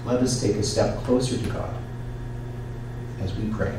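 A man speaking as a priest leading church worship, solemn and measured, in liturgy and prayer. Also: fairly low pitch, fairly even intonation. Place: church, indoors. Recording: echoey church from far off, noisy. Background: noise, room ambience.

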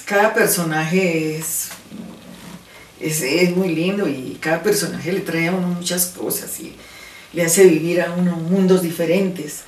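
An older woman, reminiscing wistfully and haltingly in an interview. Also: lowish voice, slight background noise. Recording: roomy, slightly distant, music behind.

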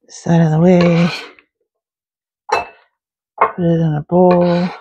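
A woman narrating a home cooking video in her kitchen, talking through each step in a relaxed way with small fillers. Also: lowish voice, drawn-out words, highs cut off, noisy background. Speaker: home cook, channel host.

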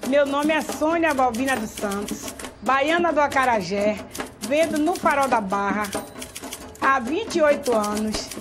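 High-voiced, slow-talking Bahian woman. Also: expressive. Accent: Bahian Brazilian Portuguese. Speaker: street food vendor. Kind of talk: documentary self-introduction.

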